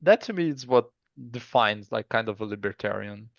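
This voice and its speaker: A man with a Brazilian accent, a guest in a casual interview, who talks haltingly and often restarts with "like". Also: lowish voice, animated, gravelly, dry recording, dull audio. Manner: halting, stops and restarts, says 'like' often. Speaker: guest artist.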